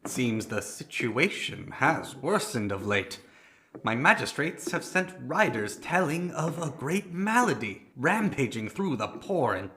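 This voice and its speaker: A haughty ruler in an audio drama, speaking slowly and with commanding weight. His pitch sits a little high and swings widely, theatrical and imperious. Faint music plays behind him. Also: pushed and bright, slight background noise, exaggerated voice. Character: imperious, haughty prince ruling a stricken realm.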